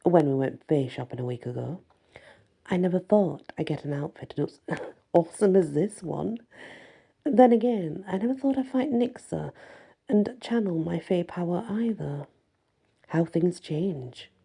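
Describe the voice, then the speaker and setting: A woman reading a first-person fantasy story as soft ASMR audiobook narration. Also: on the low side, lively intonation, measured pace, gravelly, breathy edge. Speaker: story reader.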